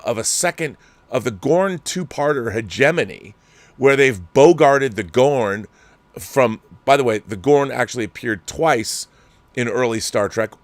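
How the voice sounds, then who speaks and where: A man's voice: medium pitch, no room sound. Sarcastic, exasperated man ranting. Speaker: show host. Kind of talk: ranting commentary monologue.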